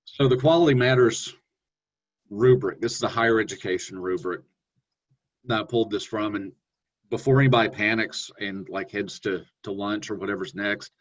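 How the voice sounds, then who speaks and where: A man presenting a webinar on online teaching, talking in a relaxed, conversational way with fillers and self-corrections. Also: moderate pace. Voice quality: deep voice. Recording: dull lo-fi webinar audio.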